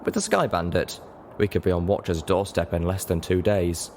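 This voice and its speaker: Deep guy reading fiction out loud, really animated, bit of noise behind him. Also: normal speed. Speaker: audiobook narrator. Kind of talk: expressive scripted story narration.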